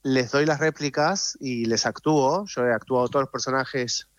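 A man with an Argentine accent answers interview questions in a thoughtful, conversational manner. His voice sits at a middle pitch and explains things step by step, often tagging on a small check for agreement. The sound is somewhat dull and lo-fi, with little room echo. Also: normal speed. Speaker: audio series creator and director, interview guest.

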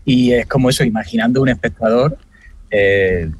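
A man who runs a festival, talking in a relaxed way with many fillers. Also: mid-range voice, moderate pace, slight background noise. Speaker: festival organizer. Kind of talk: casual discussion.

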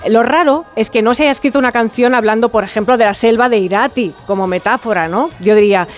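A woman's voice: on the high side, fast talker. A woman with an accent from Spain giving jokey, chatty music commentary with a theatrical touch, like a radio segment. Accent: Spanish, from Spain. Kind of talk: playful, conversational music commentary. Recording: music playing behind. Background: disco music.

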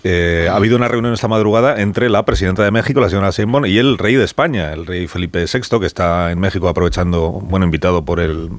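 A man with an accent from Spain hosting a live radio panel, conversational, often pausing to rephrase as he presents. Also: sits low, no echo. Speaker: radio talk show host. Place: radio studio.

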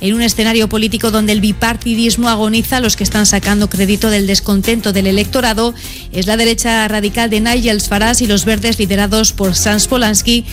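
A woman's voice: medium pitch, little pitch movement, a little fast, faint music behind. A woman reading a scripted report as a foreign news correspondent.

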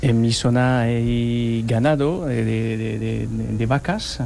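Man, from France, winemaker guest, hesitant, halting speech, mid pitch. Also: vocal fry, darkish tone, slight background noise.

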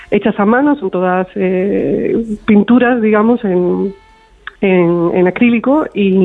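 A woman answering as an interview guest, hesitant and often restarting, over a call. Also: medium pitch. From Spain. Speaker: interview guest, author and illustrator. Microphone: remote call into a radio studio. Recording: tinny narrow phone line.

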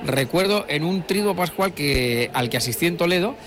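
A man's voice: on the high side, animated, moderate pace. A middle-aged man from La Mancha, giving discursive live commentary on a procession with many fillers and restarts. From La Mancha, Spain. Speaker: broadcast commentator. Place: outdoors at a street procession. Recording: live street broadcast with crowd noise. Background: crowd.

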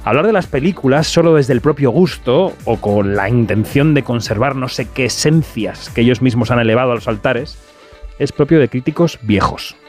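A man's voice: medium pitch, normal speed, a little mellow, faint music behind. A man hosting a radio film show, speaking in an emphatic, opinionated way. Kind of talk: radio show monologue and interview.

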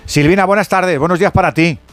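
A man's voice: sits high, quick pace, a bit bright, soft background music. A man with a Spanish accent from Spain hosting live sports radio in an effusive, affectionate way.

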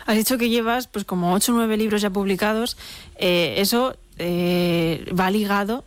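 A woman's voice: mid-range voice, normal speed, no echo. Chatty woman radio co-host. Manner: chatty, playful. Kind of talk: casual radio chat.

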